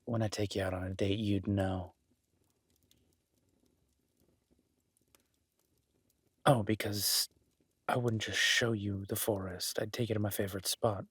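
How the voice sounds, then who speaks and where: A man's voice: fairly low pitch, flat delivery, normal speed, husky, no room sound. A man playing a teasing, protective woodland cabin host, speaking intimately in an audio roleplay. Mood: teasing, flirtatious. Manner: teasing, soft-spoken, intimate.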